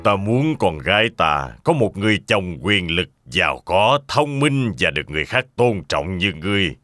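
A man's voice: sits low, very animated, normal speed, pushed and bright, no echo, clean studio sound, faint music behind, exaggerated voice. An actor playing a genial, formal old king in a fairy-tale cartoon. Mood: stately, pleased.

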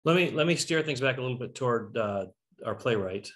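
A man's voice: medium pitch, animated, fairly quick. A middle-aged man hosting and moderating a talkback discussion over a video call. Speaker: host.

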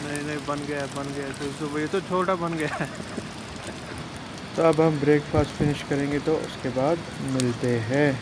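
A man's voice: a little high, steady tone, moderate pace. A man, chatting casually as a travel vlogger and narrating what goes on around him. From Kashmir, India. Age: 20s. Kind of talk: casual travel vlog chat. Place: outdoors at riverside campsites, in a car. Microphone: phone at arm's length. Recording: very noisy outdoor phone recording. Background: loud outdoor ambience.

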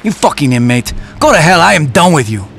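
Furious guard over loud music. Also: male voice, fairly high pitch, big pitch swings, a little fast, creaky. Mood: furious, defiant. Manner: shouting, accusing. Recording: loud game music underneath.

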